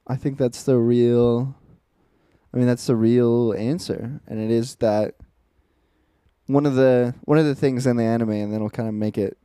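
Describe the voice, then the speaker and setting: A man's voice: medium pitch, steady tone, normal speed, mellow, clean tone, no room sound, studio quality. A man in his twenties, co-hosting a casual podcast chat, telling stories in an earnest, reflective way. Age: 20s. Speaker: podcast co-host.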